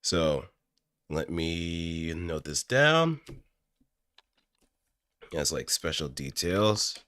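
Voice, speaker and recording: A man with a low voice that still has a bright, forward edge. He talks in an off-the-cuff, explanatory way, musing aloud as he works through ideas. The recording is close and very dry. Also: lively intonation, very slow, no room sound. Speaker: amateur voice actor. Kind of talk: casual improvised explanatory commentary. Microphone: close studio microphone through an audio interface.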